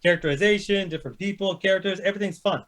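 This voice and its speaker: A man's voice: sits high, rapid-fire, a bit bright. A man chatting casually about movies as a panelist, blunt and opinionated, swearing heavily as he rants. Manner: heavily profane, blunt, ranting. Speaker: panelist ranking films on a chat show.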